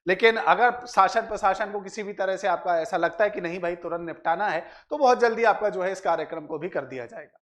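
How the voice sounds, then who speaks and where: A man's voice: high-pitched, a little fast, hardly any pauses, fairly bright tone. Man explaining to students. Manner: addresses viewers directly, repeats filler phrases and check tags. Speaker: exam coaching presenter. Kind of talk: explanatory exam analysis for students.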